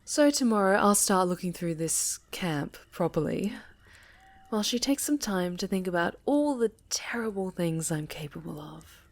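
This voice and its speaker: A woman playing a sinister, seductive necromancer villain in a fantasy ASMR roleplay, soft-spoken and half-whispered, with menacing, deliberate delivery. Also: on the low side, lively intonation. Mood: sinister, controlling. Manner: slow, deliberate, menacing, savoring pauses.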